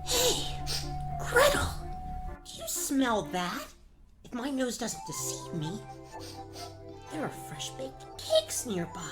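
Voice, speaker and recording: High, dopey young boy voiced by a woman. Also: huge pitch swings, takes it slowly, long pauses, loud music behind the voice, full character voice. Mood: whiny, excited. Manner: hesitant, rambling. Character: dim, sheepish, hungry young boy, whiny excitable brother. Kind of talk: comedic gag-dub radio play acting.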